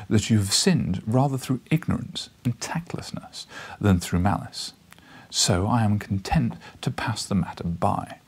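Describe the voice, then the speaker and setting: A man performs an overbearing professor from a storybook. He is haughty and scornful, biting off deliberate phrases in a low, gravelly, husky voice. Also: darkish tone. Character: pompous, scornful, overbearing male professor writing a letter.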